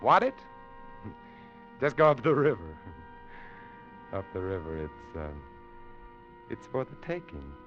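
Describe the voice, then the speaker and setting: A man's voice: lowish voice, big pitch swings, slow pace, pauses often, music in the background. An actor playing a menacing, unhinged man who mocks and laughs in a 1940s radio drama, on a dull old recording. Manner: mocking, laughing, wild swings from mutter to shout. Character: menacing middle-aged man, sneering and unhinged.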